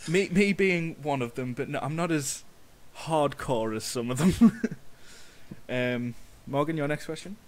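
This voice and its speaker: A man co-hosting a podcast, asking casual interview questions with hesitant, halting phrasing. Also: a little high, slight background noise. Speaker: podcast co-host.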